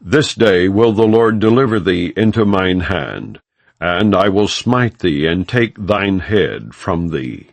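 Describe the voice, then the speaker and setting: Man reading as bold young shepherd, deep and declamatory. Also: lively intonation, slow pace, gravelly, no room sound, exaggerated voice. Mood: defiant, resolute. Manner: earnest, declamatory, old-fashioned scriptural speech. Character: bold, faithful young shepherd boy facing battle.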